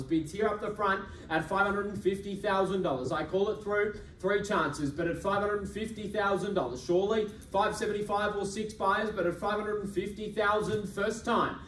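A man's voice: high voice, a little hiss or hum. Young male auctioneer, persuasive bid caller, recorded from a distance in a reverberant function room. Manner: brisk, persuasive, repetitive bid calling. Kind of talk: live property auction calling. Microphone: far camera. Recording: echoey room from far off.

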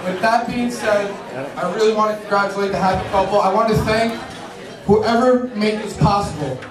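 High-voiced young New Yorker giving a toast. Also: male voice, moderate pace, heavy background noise. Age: 20s. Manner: nervous, informal. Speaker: best man at a wedding. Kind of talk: prepared wedding toast with casual joking asides. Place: large crowded indoor wedding reception venue. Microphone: handheld mic into PA, picked up by distant camera. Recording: echoey hall from far off, loud crowd.

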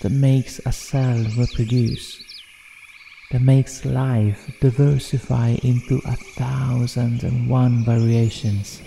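A man narrating a guided meditation in a soothing, measured manner with deliberate pauses. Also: mid-range voice, flat delivery, creaky, mellow, a little hiss or hum. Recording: long reverb, New-age music behind. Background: New-age music.

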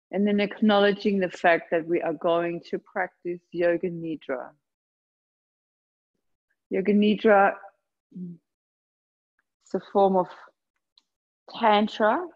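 A woman leading a guided meditation, speaking gently and unhurriedly in short phrases with long pauses between them. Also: medium pitch, lo-fi sound. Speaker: yoga nidra meditation guide. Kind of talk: guided meditation, slow soothing instruction.

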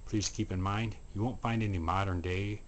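Low-voiced man presenting a sales walkthrough. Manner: repetitive, unhurried listing of items in short phrases. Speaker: antique mall booth presenter. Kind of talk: promotional walkthrough narration. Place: indoor antique mall showroom. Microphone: handheld camera, operator speaking from behind it. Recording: steady background room noise.

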